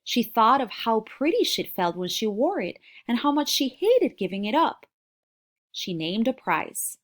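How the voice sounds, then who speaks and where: A woman reading a children's novel aloud as a storybook narrator. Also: a little high.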